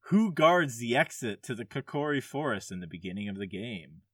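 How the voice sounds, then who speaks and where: A man hosting a casual gaming podcast quiz, reading questions and answers aloud in a somewhat halting way. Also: mid-range voice, sing-song, fairly bright tone, slightly rough, dry recording, clean studio sound.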